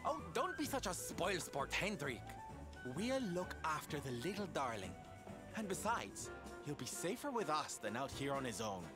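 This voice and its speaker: A woman's voice: low-pitched, very animated, normal speed, music in the background. A woman voicing a bold, flamboyant adventurer in a video game, theatrical, coy and playful with mock sweetness. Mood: teasing.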